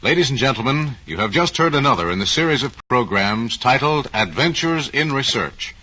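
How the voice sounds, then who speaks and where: A man's voice: mid-range voice, pushed and bright, breathy edge. A man hosting a scripted 1940s radio program with formal, polished announcer diction. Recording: muffled vintage 1940s radio recording.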